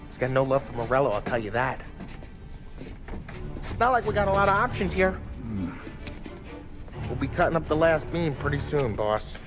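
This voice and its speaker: A man's voice: on the high side, big pitch swings, normal speed, long pauses. A man with an American accent playing a brash, scheming 1930s mobster in a game cutscene, slangy and cocky. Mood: persuading. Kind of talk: video game cutscene acting. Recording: dramatic music behind the voice.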